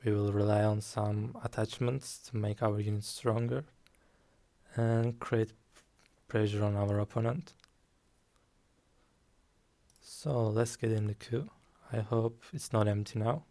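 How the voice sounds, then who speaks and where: Male streamer, calm and unhurried, dark gravelly tone, desk mic at home. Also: lowish voice. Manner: slow, calm, soft-spoken with frequent ums. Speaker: guest streamer. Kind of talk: casual game stream commentary.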